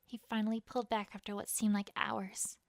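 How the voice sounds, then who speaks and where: A woman's voice: medium pitch, level tone, brisk, creaky, dry recording, clean studio sound. A woman reading a first-person fantasy story as a dramatic narration. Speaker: fan fiction reader.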